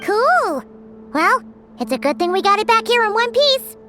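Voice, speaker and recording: A woman's voice: very high voice, very animated, moderate pace, a bit bright, soft background music, exaggerated voice. A woman voicing a small, bubbly, childlike fairy companion in a video game, curious and exclamatory. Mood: cheerful. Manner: exclamatory, childlike, speaks of self in third person.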